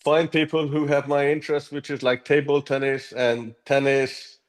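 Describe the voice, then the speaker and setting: Hungarian-born man, mid-pitched, measured interview guest who often restarts his sentences. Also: little pitch movement. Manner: earnest, measured, with frequent ums and restarts. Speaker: app co-founder, guest. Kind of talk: interview answers.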